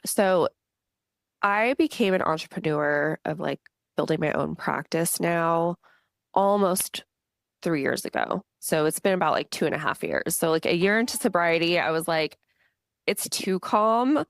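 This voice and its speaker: A woman guest on a podcast, talking in a chatty, animated way with lots of "like". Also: on the low side, a little slow, creaky, no room sound, studio quality. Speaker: podcast guest, business owner. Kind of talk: casual podcast conversation.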